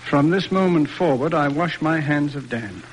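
A man's voice: fairly high pitch, normal speed, close to an everyday voice. An actor playing a stern, exasperated father in a formal, emphatic 1940s radio drama style. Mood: exasperated resolve. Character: exasperated stern father disappointed in his son. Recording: noisy old radio broadcast recording.

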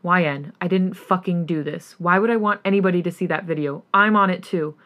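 A woman's voice: on the low side, fairly quick, a bit bright, natural voice. Woman acting a wounded, indignant young playboy boyfriend. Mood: insulted, defensive. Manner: pleading, exasperated, swearing.

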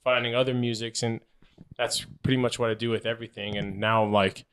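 A man's voice: medium pitch, little pitch movement, fairly quick, fairly bright tone, a little hiss or hum. A young man hosting a podcast, chatting casually in a rambling way with lots of fillers. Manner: rambling, filler-heavy, self-deprecating. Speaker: podcast host. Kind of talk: casual chat.

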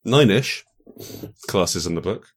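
A man's voice: sits low, animated, slow. A man explaining things in casual conversation as a game designer, often thinking aloud as he goes.

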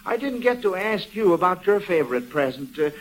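A male American quiz host from 1940s radio, with a high voice. He talks in a folksy, encouraging way, chatting easily between questions, and the sound is that of a vintage broadcast. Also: big pitch swings, a little fast, rough recording.

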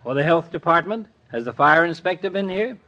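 An American actor playing a sardonic, needling small-town doctor in a 1950s radio comedy. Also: male voice, fairly high pitch, lively intonation, brisk, sharp tone. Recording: dull old radio recording.

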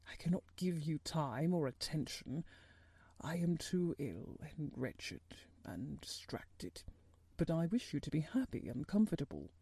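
A miserable middle-aged gentleman, acted out by a woman narrator, speaks in a very mellow, soft-edged tone that rises and falls freely. He is fretful and distracted, halting as though his thoughts are elsewhere. The storybook voice is recorded close and dry. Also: low-pitched, breathy edge, no room sound, voice pushed down, exaggerated voice. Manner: absent-minded, halting, worried.

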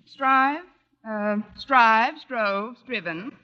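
A woman's voice: a little high, very slow. An actress playing a wry, wisecracking female English teacher in a 1940s radio sitcom, with dry, arch delivery. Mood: flustered. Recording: dull, noisy vintage radio recording.

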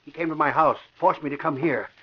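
Actor as a nervous, high-pitched crooked lawyer. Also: male voice, fairly quick, lightly acted. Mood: panicked. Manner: nervous, pleading. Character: frightened, cowardly male crooked lawyer. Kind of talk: old-time radio crime drama acting. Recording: muffled, faintly noisy vintage radio recording.

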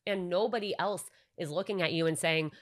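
A woman's voice: lowish voice, brisk. A young woman co-hosting a podcast, talking in a reflective, reassuring way and building long points in casual chat. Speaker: podcast co-host.

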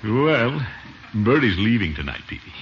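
An actor playing a pompous, sentimental middle-aged uncle in a radio comedy, with a blustery, sighing delivery. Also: male voice, medium pitch, big pitch swings, a little slow. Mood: genial. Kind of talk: 1950s radio situation comedy acting. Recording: old muffled radio recording, faint hiss.

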